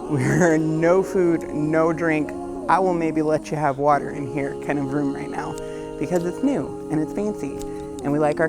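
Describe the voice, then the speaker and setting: A cheerful woman librarian speaks to students, her voice sitting a little low for a woman. She sounds upbeat and friendly, yet firm about the rules. Music plays clearly behind her. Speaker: school librarian. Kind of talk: school announcement video.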